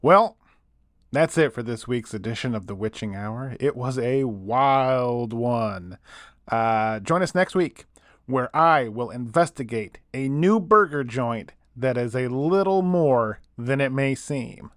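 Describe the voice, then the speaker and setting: Easygoing late-night radio host, dry studio. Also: male voice, medium pitch, expressive, takes it slowly, no room sound. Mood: upbeat, easygoing. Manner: casual, folksy, sympathetic. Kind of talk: scripted late-night radio show drama, casual hosting.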